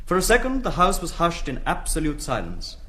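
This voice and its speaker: An English actor playing a grave parliamentary diarist, reading his memoir in a measured, precise manner. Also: fairly high pitch, huge pitch swings, a bit bright. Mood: grave recollection.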